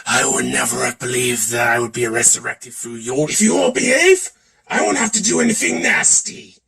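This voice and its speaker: A man's voice: medium pitch, lively intonation, moderate pace, gravelly, pushed and bright, recorded from a distance. A man performing theatrical character voices in a voice acting reel, switching from one to another. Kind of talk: voice acting demo reel of game and cartoon character impressions.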